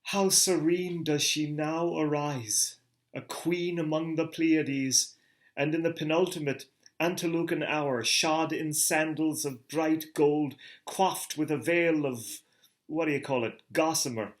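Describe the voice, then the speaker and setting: Middle-aged guy doing a slow dramatic book reading, drops to a hush then booms out. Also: steady tone. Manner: theatrical, swells from hushed to booming. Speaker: reader of a novel.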